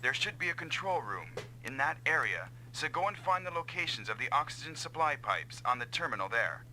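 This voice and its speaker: Casting: self-doubting, eager mech pilot for anime-style game, piercingly forceful, faint noise behind. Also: male voice, a little high, big pitch swings, brisk, exaggerated voice, low-quality recording. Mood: purposeful. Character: earnest, self-doubting male comrade pilot, eager to help. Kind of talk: dubbed anime-style video game acting.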